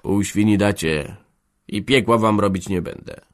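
A man's voice: lowish voice, measured pace, natural voice. A man voicing an angry village blacksmith who sneers and barely holds back, in a storybook reading. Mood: softer. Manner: sneering, wrathful.

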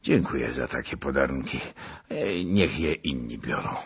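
A man's voice: extremely low pitch, expressive, normal speed, slightly rough, full character voice. A man voicing a blustering older nobleman in a historical storybook, exclaiming and grumbling in colourful language. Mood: grumbling, despairing.

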